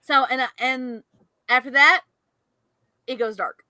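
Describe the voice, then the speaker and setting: A woman co-hosting a podcast, telling a story in an animated way and laughing often. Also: high voice, unhurried, no echo. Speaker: podcast co-host. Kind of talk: casual storytelling chat.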